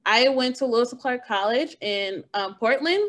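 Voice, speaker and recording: A woman's voice: on the high side, normal speed. Casual young woman hosting a video call. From Oakland, California. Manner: relaxed, chatty, laughs easily. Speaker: academic preparation specialist, meeting host.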